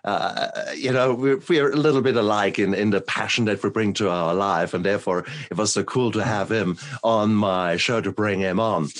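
A man from Germany hosting a podcast interview, enthusiastic and quick to laugh. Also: fairly low pitch. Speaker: podcast host. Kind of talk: conversational interview.

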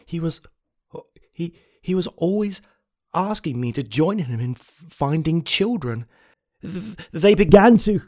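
A man playing a desperate, obsessed confessor in a horror story, halting and repeating words. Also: a little high, expressive, darkish tone. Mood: frantic, desperate. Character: unstable, haunted anonymous confessor on tape, desperate and obsessed. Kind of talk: dramatic first-person horror monologue.

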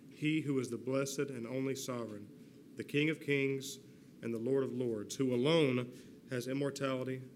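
A man reads scripture in a steady, solemn cadence at a mid-range pitch. His pace is measured and unhurried. A faint hiss of room noise sits behind him. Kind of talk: scripture reading. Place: church sanctuary.

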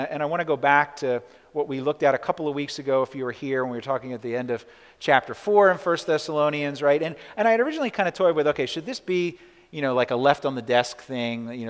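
A man's voice: mid-range voice, in a large hall. A man preaching a sermon as pastor, talking conversationally with many "right?" asides and restarts.

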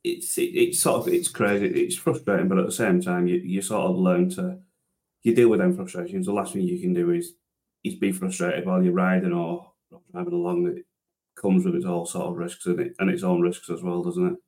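A deep-voiced man in his thirties talks through his career in a relaxed podcast interview. He rambles and restarts often, thinking aloud as he goes. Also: fairly quick. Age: 30s. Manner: rambling, many restarts and fillers, self-deprecating. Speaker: interview guest, transport manager and driver trainer.

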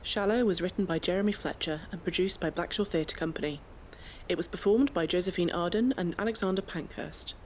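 An announcer reads the closing credits in an even, formal voice. The pitch sits in the middle, and a faint noise runs beneath the reading. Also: a little fast.

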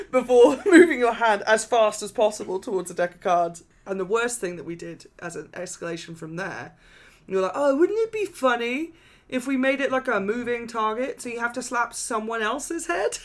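A woman co-hosting a podcast from her flat, rambling through funny stories and laughing often. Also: a little high. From England. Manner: giggly, rambling storyteller. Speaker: podcast co-host. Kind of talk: casual chat. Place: home flat.

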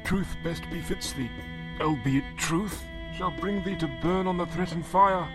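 A man voicing a grieving, dignified old father in an audio drama, with halting, formal, archaic phrasing. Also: high-pitched, over music, pitched above the performer's own voice. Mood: solemn, coaxing.

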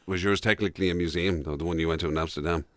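A man's voice: low voice, fairly even intonation, rapid-fire, a bit bright, no room sound. An Irish man co-hosting a radio show in casual comic banter, with a teasing, questioning way of talking.

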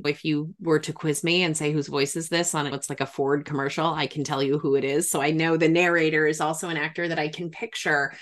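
A woman's voice: low-pitched, fairly bright tone. A woman hosting an interview in a casual, chatty, digressive way. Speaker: interviewer, podcast host.

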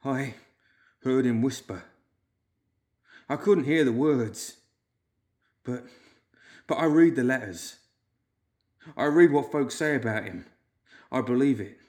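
A man's voice: mid-range voice, darkish tone, close to an everyday voice. Man voicing a whimpering, haunted, frightened clerk. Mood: earnest, frightened. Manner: whimpering, wailing, drawn-out fearful delivery.

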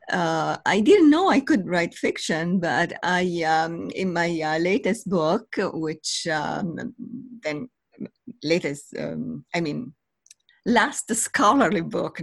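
An older woman from Italy with a raspy voice, answering interview questions in a halting, thoughtful way that often pauses mid-sentence. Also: fairly low pitch, no room sound. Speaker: guest, novelist and literature professor.

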